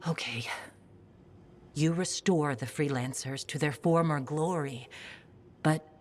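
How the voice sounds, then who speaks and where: An actress playing a gentle, confused woman in video game dialogue who speaks hesitantly about uncertain memories. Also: sits low, breathy edge, heavily acted. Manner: hesitant, halting, soft.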